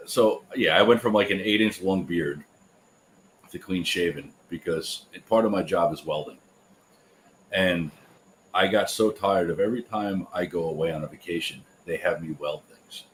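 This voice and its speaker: Man, very low voice, relaxed casual chat, faint hiss behind. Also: normal speed, poor audio. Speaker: podcast guest, new content creator. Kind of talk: casual live-stream chat. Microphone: video call.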